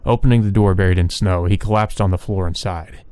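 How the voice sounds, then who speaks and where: A man's voice: low voice, steady tone, brisk, a little mellow, smooth, no echo. A man reading visual novel story text aloud as narration for a let's play.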